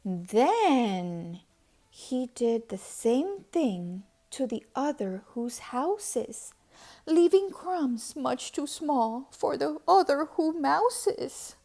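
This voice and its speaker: A woman narrating a children's storybook aloud in an expressive, dramatic way, at times hushed to a whisper. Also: high-pitched, very slow. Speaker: storybook reader.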